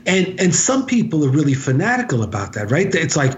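A man giving conversational commentary as an interview guest, deliberate and emphatic, pausing haltingly and repeating for stress. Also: fairly high pitch, very animated. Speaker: podcast guest, author and activist. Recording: dull, lo-fi podcast audio.

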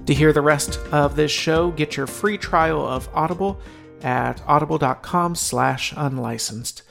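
Creaky-voiced man hosting a podcast. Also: a little high, a little slow, fairly bright tone, soft background music. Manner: conversational, personal. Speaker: podcast host. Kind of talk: casual podcast recommendations.

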